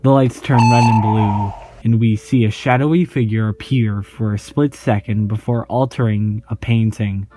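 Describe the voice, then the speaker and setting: A man hosting his own online video channel, explaining horror videos in a relaxed, jokey way and reading on-screen text aloud. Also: fairly low pitch, moderate pace, hardly any pauses, over loud music. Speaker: YouTube host. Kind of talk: casual video commentary.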